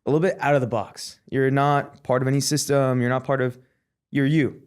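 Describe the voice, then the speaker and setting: A man's voice: mid-range voice, level tone, a little fast, clean tone. A man hosting a podcast interview, asking questions in a thoughtful, feeling-his-way manner. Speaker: podcast host. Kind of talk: casual interview conversation. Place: podcast studio.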